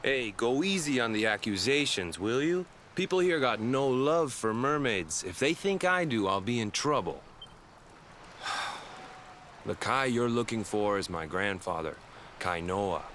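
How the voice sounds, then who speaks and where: A man's voice: medium pitch, animated, unhurried, fairly bright tone, a little hiss or hum. An actor playing a young island man who earnestly retells his family's legend. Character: young Hawaiian man, grandson of a cursed fisherman. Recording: game audio over background music.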